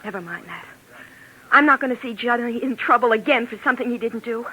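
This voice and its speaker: A woman's voice: fairly high pitch, a little fast, bright, forceful tone, husky. An actress playing a desperate, defiant wife in a 1940s radio drama, urgent and melodramatic in her delivery. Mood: threatening. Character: desperate, defiant young wife shielding her criminal husband. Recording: noisy old 1940s radio recording.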